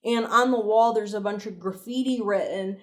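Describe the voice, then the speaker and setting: A woman's voice: medium pitch, normal speed, echoey room. A woman hosting a video review, talking in a conversational, opinionated and emphatic way with casual swearing. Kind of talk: casual commentary, breakdown analysis.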